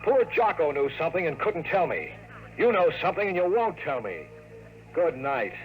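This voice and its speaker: A man's voice: on the high side, sing-song, moderate pace, dull audio. An actor playing a wisecracking, world-weary male private investigator who narrates and talks in hardboiled 1950s radio drama style. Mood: pressing, wry. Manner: wry, slangy, sardonic. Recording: old radio broadcast with music.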